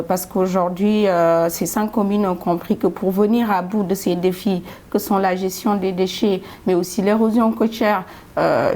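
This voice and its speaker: A woman answering as a studio guest, halting and repeating words as she goes. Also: on the low side, darkish tone. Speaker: development programme representative, studio guest. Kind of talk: spontaneous interview answers.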